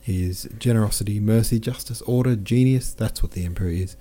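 A man's voice: fairly low pitch, a little fast, dark tone, pitched above the performer's own voice, close to an everyday voice. A man voicing a jovial, boastful French army captain who chatters in exclamations.

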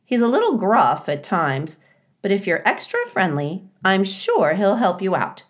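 A woman's voice: medium pitch, big pitch swings, unhurried, pitched above the performer's own voice. A woman voicing a blunt, fussy, motherly female goose in a children's storybook, with squawking, emphatic, opinionated delivery. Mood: bossy. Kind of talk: children's storybook character reading.

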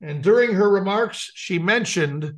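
A man's voice: high voice, animated, measured pace. A man co-hosting a radio show, bantering casually and reading out offbeat news.

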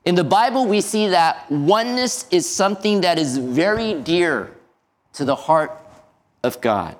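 A male pastor preaching slowly and deliberately. His pitch moves a lot as he speaks, lifting on key words and dropping at the end of each phrase. The delivery is calm and steady throughout. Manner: slow, measured preaching with lively pitch and drops at phrase ends. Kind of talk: sermon.

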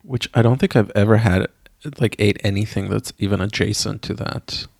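Low-voiced man chatting with fillers. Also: fairly even intonation, normal speed, vocal fry, darkish tone, slightly rough, dry recording, studio quality. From Israel. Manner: hesitant, many fillers and restarts. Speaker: podcast co-host. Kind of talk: casual chat.

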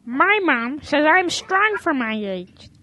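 A woman's voice: sits high, big pitch swings, takes it slowly, sharp tone, exaggerated voice. A woman voicing a naive, eager little boy calf in a children's audio drama, childlike and innocent.

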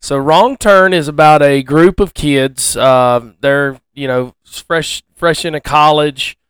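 A man with a smooth, resonant voice pitched a little high co-hosts a podcast. He chats casually about films, and the sound is very dry, with little room to it. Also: slow, a bit bright, no echo. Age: adult, married. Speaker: podcast co-host.

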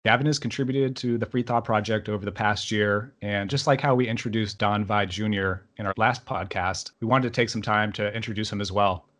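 A man hosting a podcast, reading a measured, welcoming introduction and then asking his guest a question. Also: on the low side, a bit bright. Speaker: podcast host.

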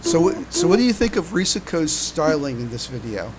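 A man's voice: a little high, wildly swooping pitch, brisk, over a noisy crowd, rough recording. A man hosting a casual fan podcast, chatting hesitantly and often stammering and repeating his words. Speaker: podcast host.

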